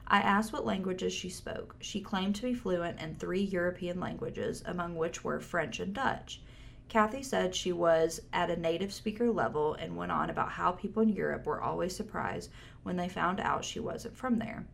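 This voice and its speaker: A woman with a low, gravelly voice co-hosts a chatty podcast. She reads an online post aloud and breaks in with dry asides. A faint rumble sits behind her. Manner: wry, reads with side comments. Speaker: podcast co-host. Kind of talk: casual chat, reading posts aloud.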